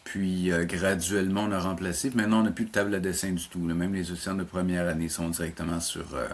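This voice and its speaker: A middle-aged man with a low voice and a Quebec French accent answers interview questions like a teacher. He explains casually and carefully, rephrasing as he goes. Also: little pitch movement, quick pace. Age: middle-aged or older. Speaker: interview guest, animation teacher.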